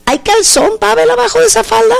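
A woman's voice: very high-pitched, expressive, quick pace, gravelly. A middle-aged woman with a Mexican accent hosting an interview in a playful, flirtatious, exclamatory way. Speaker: show host.

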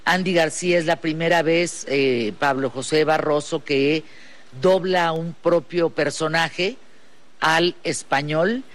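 A man's voice: fairly high pitch, steady tone, takes it slowly, a bit bright. A man interviewing a guest on the radio, earnest and asking in long run-on questions. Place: radio studio.

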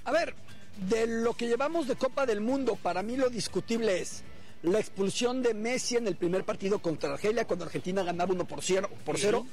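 A man giving a reasoned, deliberate football analysis on a panel. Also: sits high, darkish tone, faint music behind. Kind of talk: measured analysis.

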